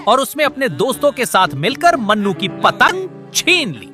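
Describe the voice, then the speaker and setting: A theatrical storyteller narrating a children's cartoon in playful rhyme. The delivery is animated and mid-pitched, with music clearly behind. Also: moderate pace. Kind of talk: rhyming, playful scripted cartoon narration in Hindi.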